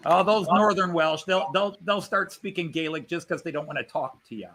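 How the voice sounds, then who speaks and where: A man's voice: sits high, moderate pace, noisy background. A man hosting a casual chat, telling rambling, chatty travel stories.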